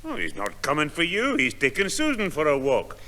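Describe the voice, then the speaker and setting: A gruff Scottish grandfather in a 1960s radio comedy. His voice is bright and swings lively as he scolds. The recording has a long reverb and light hiss. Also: fairly high pitch, fairly quick, full character voice.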